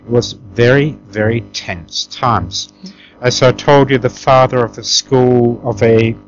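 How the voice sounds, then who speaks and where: A middle-aged man reminisces as an interview guest in an emphatic, conversational way, often tagging his points with a questioning "yeah?". He talks at an unhurried pace, and the recording is dull and lo-fi. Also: medium pitch, soft background music. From Tanzania.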